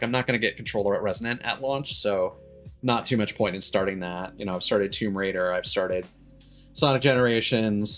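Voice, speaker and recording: A man chatting casually as he hosts a game stream, rambling and halting, with stammers and offhand swearing. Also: on the low side. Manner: casually profane. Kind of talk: casual livestream chat. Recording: music playing behind the voice.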